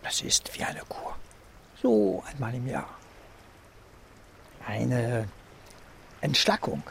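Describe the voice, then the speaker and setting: A man playing a guest on a seaside retreat in a radio play, speaking in halting fragments. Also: medium pitch, big pitch swings, creaky, a bit bright, heavily acted. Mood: calm, halting. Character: contented, drowsy man on a long seaside retreat. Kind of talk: documentary-style interview in a radio play. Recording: outdoors by water, faint noise.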